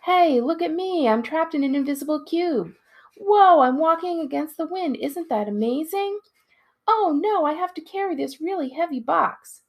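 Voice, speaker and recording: A woman's voice: high voice, lively intonation, moderate pace, voice pushed up. A woman reading a storybook as a cocky, stubborn kid who mocks people in a showy, exclaiming way. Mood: loud mocking. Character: cocky, stubborn, mocking kid narrator, loud and taunting. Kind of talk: storybook character read aloud.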